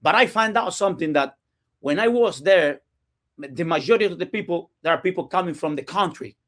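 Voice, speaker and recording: A man's voice: on the high side, expressive, a little fast, a bit bright. A man from Cuba with a Cuban Spanish accent, speaking English as a second language, telling his story earnestly as a podcast guest and often restarting his sentences. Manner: earnest, with frequent restarts and non-native grammar. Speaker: guest, real estate entrepreneur. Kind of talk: casual podcast interview. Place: podcast studio.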